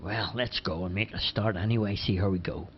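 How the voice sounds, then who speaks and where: A man's voice: on the low side, fairly even intonation, fairly quick, slight background noise, lightly acted. A man voicing a blunt, sensible grown man who lectures in a matter-of-fact way. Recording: dull lo-fi recording.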